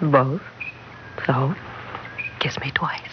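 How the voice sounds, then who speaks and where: A woman's voice: extremely low pitch, steady tone, very slow, full character voice. An actress playing a lovestruck, tender, anxious married woman in an affair, in old radio-drama style. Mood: coaxing. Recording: muffled old radio recording, background noise.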